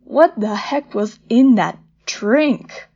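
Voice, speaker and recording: A woman's voice: a little high, slow pace, darkish tone, slightly rough, dull audio, heavily acted. A woman voicing a bold, affectionate female monster with a casual, punchy delivery in a story reading. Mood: startled. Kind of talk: dramatic fan fiction reading.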